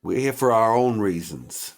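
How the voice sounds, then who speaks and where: A man's voice: on the low side, expressive, a little slow. A man playing in a tabletop game, improvising his lines in character.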